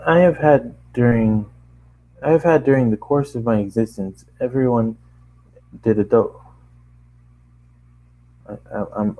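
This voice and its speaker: A man's voice: medium pitch, warm tone, rough recording. A man reading a first-person story aloud as an amateur narrator, often tripping over lines and starting them again. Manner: stumbles over lines and rereads them, apologising. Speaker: amateur fiction reader and narrator.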